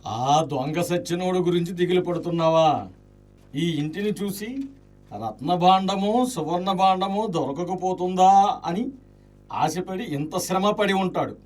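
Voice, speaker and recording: Radio drama: high-pitched, chattering loyal jester friend, theatrical and exclamatory, slightly off-mic. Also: male voice, measured pace, darkish tone, distant mic. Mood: bantering. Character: Maitreya, talkative jesting loyal male friend of a ruined nobleman. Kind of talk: Indian radio play acting.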